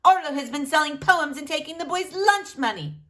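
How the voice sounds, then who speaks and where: A woman's voice: high-pitched, expressive, moderate pace, pushed and bright, pitched above the performer's own voice. A woman voicing an annoying, accusing schoolgirl tattletale in a children's storybook reading. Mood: indignant. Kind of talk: children's storybook read-aloud.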